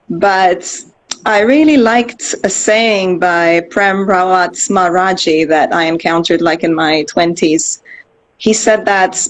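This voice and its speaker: A woman's voice: medium pitch, poor audio. A middle-aged woman from Austria, a guest in a casual interview, talking in a reflective, rambling way with many fillers and restarts.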